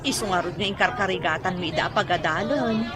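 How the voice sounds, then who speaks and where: An actress playing a nagging middle-aged wife and mother who scolds her lazy husband and goes shrill when she shouts. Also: brisk, over a noisy crowd, close to an everyday voice. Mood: chatty.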